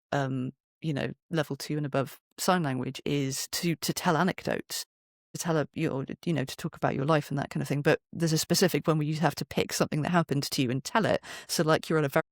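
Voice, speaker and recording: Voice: mid-range voice, steady tone, a little fast, dry recording, clean studio sound. A podcast guest chatting in an interview, explaining things from experience with frequent asides and self-interruptions. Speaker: podcast guest, storyteller and voice performer.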